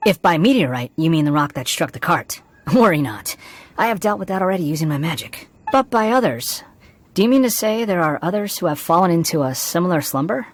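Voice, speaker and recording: A man voicing a polite, enigmatic young vagrant in a video game, smooth and faintly amused. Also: fairly high pitch, lively intonation, fairly quick, a little hiss or hum, lightly acted. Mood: polite, composed. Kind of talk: video game character acting. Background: background music.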